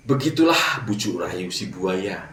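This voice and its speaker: A man narrating a fable for children, with dramatic pauses and direct address to young listeners. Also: deep voice, expressive, background noise. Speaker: children's storyteller. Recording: roomy echo, distant mic.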